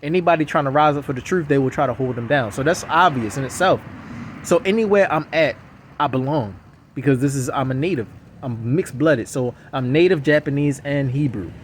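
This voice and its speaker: A man's voice: mid-range voice, brisk, slight background noise. A man giving a casual, rambling monologue to camera outdoors on city streets, repeating himself insistently. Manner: repetitive, insistent, circles back to the same points. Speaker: vlogger.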